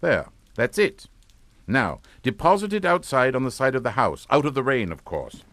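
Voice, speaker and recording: A middle-aged father rules a suspense radio drama with cold authority. He is clipped and matter-of-fact even when threatening, with a mid-pitched voice that rises and falls widely, recorded very dry. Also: moderate pace, dry recording. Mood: commanding. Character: coldly commanding English father, calm, menacing, middle-aged patriarch.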